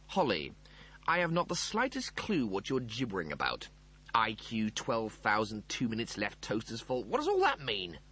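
A man voicing a pompous, wordy, slow-witted spaceship crewman in an audiobook. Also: fairly low pitch, huge pitch swings, bright, forceful tone, husky, exaggerated voice. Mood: baffled.

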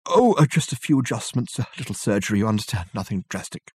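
A man's voice: lowish voice, big pitch swings, fast, a little mellow, husky, no echo, clean studio sound, exaggerated voice. A man voicing a mild, eccentric old male professor who speaks vaguely.

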